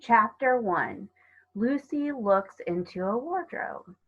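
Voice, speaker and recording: A woman's voice: fairly high pitch, animated, takes it slowly, a bit bright. Dull lo-fi recording, woman reading a storybook. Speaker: storybook reader. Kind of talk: scripted children's story narration.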